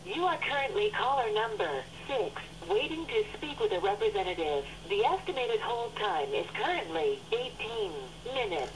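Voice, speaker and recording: Lively female phone-menu voice, noisy line. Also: mid-range voice, normal speed, hardly any pauses. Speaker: automated phone system announcer. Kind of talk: scripted hold message and menu prompts. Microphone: heard down a phone line. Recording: distant, noisy telephone line.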